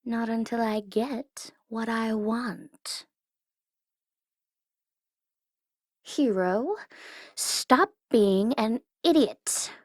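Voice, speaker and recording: A smug, gloating tsundere villainess performed by a woman for an audio roleplay. Her voice sits fairly high and she takes her time, teasing and taunting theatrically, sometimes sinking toward a whisper. The sound is dry and close. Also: extremely slow, no room sound. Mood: impatient, teasing. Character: smug tsundere female supervillain gloating over her captive hero.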